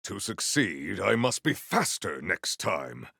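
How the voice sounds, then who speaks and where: Gravelly, grandiose warrior. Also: male voice, low voice, sing-song, slow pace, no room sound, studio quality, full character voice. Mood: grave, formal. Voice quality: gravelly, forceful. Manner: theatrical, declamatory, formal. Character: proud, battle-hungry warrior with grandiose, formal speech. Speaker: video game voice actor. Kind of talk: video game combat barks.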